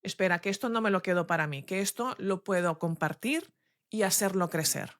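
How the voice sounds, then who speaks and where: A woman interviewer asking questions in a soft, encouraging manner. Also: mid-range voice, fairly quick, dry recording, studio quality.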